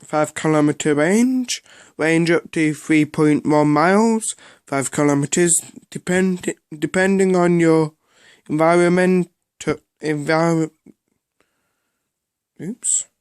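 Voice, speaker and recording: A man giving a casual, unscripted product review in a halting way, often repeating himself. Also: fairly high pitch, clear, resonant tone, no echo, clean studio sound.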